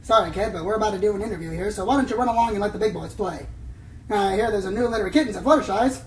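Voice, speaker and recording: A woman's voice: mid-range voice, a little mellow, a little hiss or hum, natural voice. A woman voicing a pompous, condescending veteran pony news reporter in an amateur radio play, heard on a roomy, distant mic. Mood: cocky, condescending. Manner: pompous, fast-talking, dismissive. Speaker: voice actor in an audio drama. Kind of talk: fan radio play acting.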